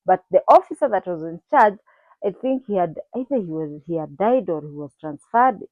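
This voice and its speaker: A woman's voice: on the low side, expressive, normal speed, dry recording, phone-quality sound. A Kenyan woman in her forties, earnestly telling her own life story to her channel's viewers. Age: 40s. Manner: earnest, reflective, with occasional restarts. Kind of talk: personal storytelling to camera.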